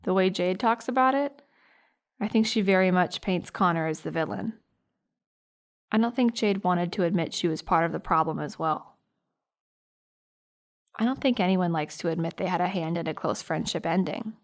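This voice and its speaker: A woman's voice: lowish voice, moderate pace, pauses often, natural voice. Thoughtful, fair-minded teenage girl played by a woman. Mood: understanding. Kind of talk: audio drama monologue, police interview testimony.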